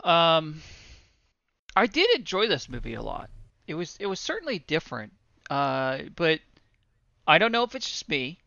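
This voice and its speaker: A bright, clear-voiced man in his thirties rambling through his take on a film, full of ums, swearing and self-deprecating asides. The recording is dry and a little dull. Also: a little high, very animated, normal speed, pauses often, no echo. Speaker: podcast co-host. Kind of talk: casual movie review chat.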